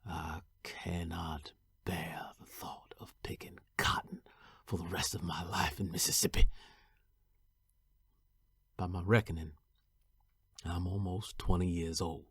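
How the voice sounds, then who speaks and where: A young enslaved man on the run, played by a male actor, tells his own story in a historical drama. His voice is deep and very husky, with a gravelly creak. He speaks in a hushed, halting, heartfelt way, worn out but set on freedom. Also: little pitch movement, no echo, heavily acted. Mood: reflective, resolute. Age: young adult. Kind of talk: dramatic first-person historical narration.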